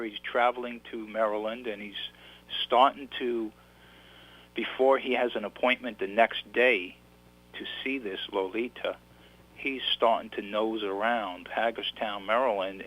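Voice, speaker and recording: A man's voice: lowish voice, highs cut off. A man with a New York accent, an author telling his story in a casual interview, rambling and restarting his sentences. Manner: rambling, repeats words and restarts.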